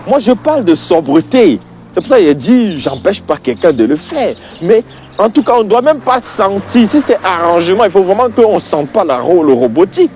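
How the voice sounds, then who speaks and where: A man's voice: high voice, big pitch swings, moderate pace, a little mellow, a little hiss or hum. A man giving an informal, emphatic opinion monologue to viewers, conversational and prone to repeating his phrases for weight.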